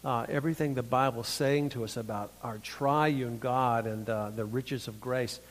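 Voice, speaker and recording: A man's voice: medium pitch, fairly even intonation, moderate pace. Thin-voiced man preaching in a hall. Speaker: conference speaker. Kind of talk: sermon. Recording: long hall reverb.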